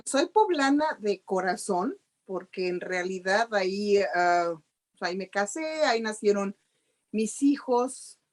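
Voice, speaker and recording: A woman's voice: medium pitch, lively intonation, unhurried. Middle-aged Mexican woman, guest on a video call, chatty and explanatory, Mexican Spanish accent. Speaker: guest journalist. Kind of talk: interview answers.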